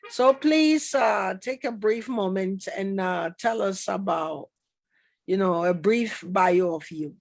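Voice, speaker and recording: Woman talk show host, mid pitch, chatty, loose and warm-up heavy. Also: slow. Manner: chatty, repeats welcomes, many fillers. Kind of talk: casual interview hosting.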